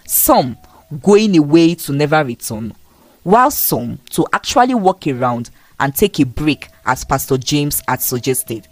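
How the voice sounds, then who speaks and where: A woman's voice: low voice, darkish tone, no echo. A woman telling a scripted folktale as a dramatic storyteller.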